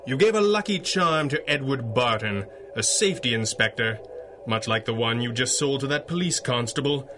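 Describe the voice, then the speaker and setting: An actor playing a calm, clever masked superhero in a radio drama, articulate and dryly witty. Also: male voice, medium pitch, noisy background, natural voice. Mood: coolly explaining. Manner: measured, articulate, wry. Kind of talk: 1930s-style radio drama acting.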